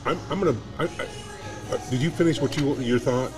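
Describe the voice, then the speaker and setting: A man's voice: mid-range voice, normal speed, music in the background. A man hosting a casual radio talk show, blunt and emphatic, often repeating himself.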